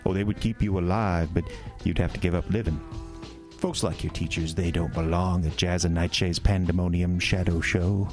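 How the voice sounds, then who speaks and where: An actor playing a sinister, smooth-talking male carnival showman in a horror audio drama, with a deep, coaxing, theatrical delivery. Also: fairly even intonation, moderate pace, a little mellow, faint music behind, close to an everyday voice. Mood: persuasive. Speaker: carnival owner.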